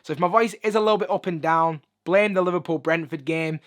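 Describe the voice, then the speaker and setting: A hoarse man, chatting upbeat through a sneaker review in a room full of shoes. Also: on the high side, fast. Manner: chatty, upbeat, lots of filler phrases. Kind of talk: casual video review. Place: room with shoe collection.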